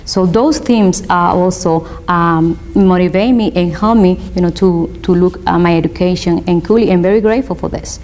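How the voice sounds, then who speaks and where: A woman with a Spanish accent, a native Spanish speaker and law student, giving an earnest, motivational interview in halting non-native English. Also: lowish voice, darkish tone. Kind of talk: interview, personal testimony.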